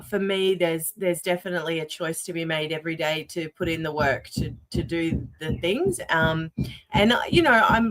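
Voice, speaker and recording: A woman's voice: fairly low pitch, a bit bright, low-quality recording. A middle-aged woman with an Australian accent, talking reflectively and candidly as a guest in a conversational interview. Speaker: podcast guest.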